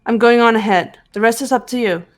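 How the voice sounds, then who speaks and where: A woman voicing a cool, determined teenage detective girl in a visual novel game, measured and reserved. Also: a little high, soft background music, natural voice. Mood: quiet resolve.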